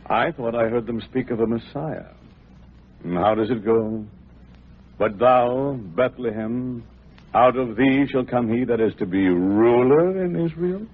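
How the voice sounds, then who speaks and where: An actor playing a measured, probing Roman official in an old-time radio drama. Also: male voice, mid-range voice, close to an everyday voice. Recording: tinny old radio recording with music.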